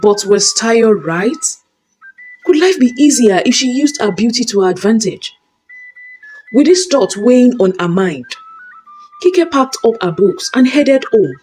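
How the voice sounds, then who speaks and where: A woman narrating a scripted dramatic story in a measured, deliberate storytelling manner. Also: on the high side, animated, a little mellow, faint music behind.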